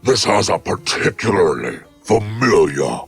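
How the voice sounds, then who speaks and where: Grim demon, very deep, husky, slow, imperious, horror audio drama, faint music. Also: male voice, big pitch swings, heavily acted. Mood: stern command. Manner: imperious, archaic, deliberate.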